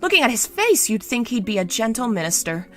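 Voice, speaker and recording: A woman voicing a gentle, doting queen and mother in a novel reading, soft-spoken and refined, given to sighing. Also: on the high side, big pitch swings, fairly quick, faint music behind, voice pushed up. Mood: approving.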